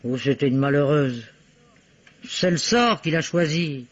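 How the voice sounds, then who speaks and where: An actress playing a spiteful seamstress murderess, blunt and mocking, in a vintage French radio mystery. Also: female voice, deep voice, unhurried. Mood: bitter. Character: spiteful seamstress murderess, brazenly confessing her crimes. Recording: dull old radio drama recording.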